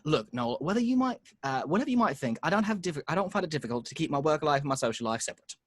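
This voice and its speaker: A man reading the part of a weary, sheepish young salesman in an informal script table read, halting and defensive. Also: on the high side, big pitch swings, no room sound.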